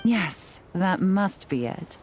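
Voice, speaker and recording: A woman voicing a poised, sly female spy who talks with smooth, teasing politeness in a video game. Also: fairly low pitch, expressive, slow pace, faint music behind.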